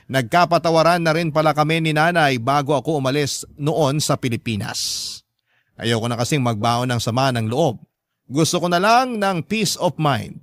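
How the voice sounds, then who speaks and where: A man's voice: mid-range voice, a bit bright, no echo. A man with a Filipino accent reading out a listener's heartfelt letter as scripted radio drama narration.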